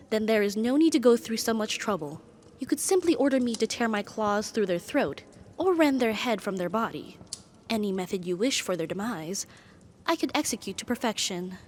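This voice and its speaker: A woman's voice: medium pitch, slight background noise, natural voice. A woman voicing a powerful female demon bound as a devoted, possessive servant, speaking formally and breathily in an ASMR roleplay. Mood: fierce, eager. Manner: formal, stately, breathy sighs and whispers.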